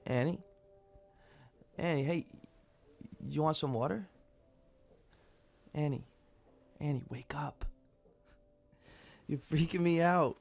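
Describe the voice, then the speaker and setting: A man's voice: a little high, slow pace, vocal fry, pauses often, a little mellow, heavily acted. A young man acting in a naturalistic radio drama as a worried, exasperated friend, urgent and earnest. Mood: panicked.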